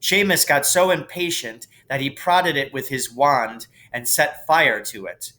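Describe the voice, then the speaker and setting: A man reading a storybook aloud as a teacher would, in expressive narration. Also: mid-range voice, measured pace.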